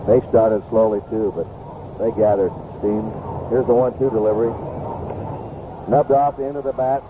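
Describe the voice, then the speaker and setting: A man's voice: fairly low pitch, fairly even intonation, moderate pace. Tinny vintage American baseball play-by-play man. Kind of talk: live radio sports commentary. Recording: noisy 1970s radio baseball broadcast, phone-like. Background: stadium crowd ambience and noise.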